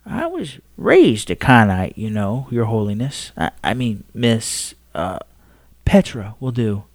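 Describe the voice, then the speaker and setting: A man's voice: on the high side, big pitch swings, a little fast, fairly bright tone, no echo, clean studio sound, voice pushed up. A man doing a stammering old astronomer. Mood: earnest. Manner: stammering, hesitant.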